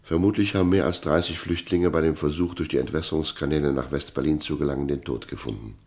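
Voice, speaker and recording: Very deep-voiced male narrator. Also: fast, a little mellow. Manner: formal, sober. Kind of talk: scripted documentary narration in a radio play.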